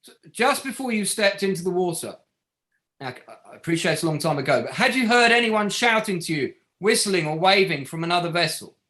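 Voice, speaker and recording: A man's voice: high-pitched, expressive, fairly quick, bright, forceful tone. A man reading a polite, methodical counsel in a courtroom play, formal and considerate in questioning. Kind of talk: table read of a courtroom play.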